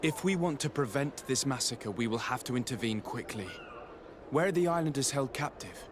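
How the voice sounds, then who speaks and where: A man's voice: medium pitch, expressive, noisy background, natural voice. A man as a courteous, formal noble envoy. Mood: resolute. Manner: formal, polite, measured. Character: courteous, composed male noble envoy investigating wrongdoing. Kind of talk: video game cutscene acting.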